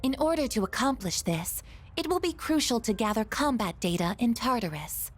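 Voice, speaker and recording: A woman's voice: fairly high pitch, lively intonation, normal speed, faint music behind. A woman voicing a polite, dutiful android combat weapon in a video game, speaking in formal, precise, earnest phrases. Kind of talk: anime video game voice acting.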